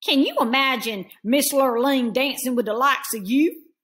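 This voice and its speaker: A woman's voice: high-pitched, unhurried, a bit bright. Woman reading as mean ranch stepbrother, sneering drawl, storybook read-aloud. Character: lazy sneering young cowboy stepbrother.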